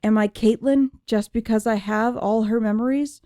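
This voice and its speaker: A woman voicing an anguished young female android who is searching for herself, in emotive, deliberate first-person audiobook narration. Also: on the high side, steady tone, dark tone, dry recording, studio quality, lightly acted. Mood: sad, reflective.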